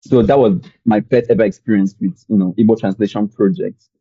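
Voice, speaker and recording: A young man from Nigeria with a Nigerian accent, telling his story as a podcast guest in a relaxed, rambling way with many fillers. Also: on the low side, a little fast, slightly rough, dry recording, lo-fi sound. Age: young adult. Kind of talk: conversational storytelling.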